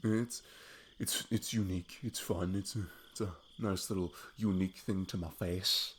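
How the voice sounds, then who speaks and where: A man's voice: deep voice, fairly even intonation, moderate pace, slightly rough. A man playing a sweet, goofy best friend on a date in an ASMR roleplay, rambling playfully and poking fun at himself. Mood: musing. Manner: rambling, playful, self-deprecating. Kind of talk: intimate ASMR roleplay, improvised casual chat.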